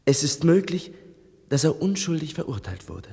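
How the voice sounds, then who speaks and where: An actor playing an earnest, insistent idealistic youth in a 1960s German radio play, in a reverberant hall. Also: male voice, on the high side, very animated, normal speed, vocal fry, mellow, slightly rough, heavily acted. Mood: urgent, pleading. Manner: insistent, impassioned. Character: earnest, urgent idealistic teenage boy.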